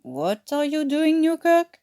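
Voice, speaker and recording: A woman's voice: high-pitched, very animated, slow pace, warm tone, pitched above the performer's own voice, full character voice. A woman voicing a bewildered male camp guard sergeant in a story reading, puzzled and easily flustered. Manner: puzzled, flustered, stammering when startled.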